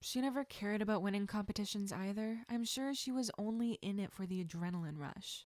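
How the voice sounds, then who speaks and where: A woman voicing an encouraging adult female martial arts teacher in measured, instructive story dialogue. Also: medium pitch, a little mellow, no room sound, studio quality, close to an everyday voice. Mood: calm, reassuring. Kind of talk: audiobook-style character dialogue.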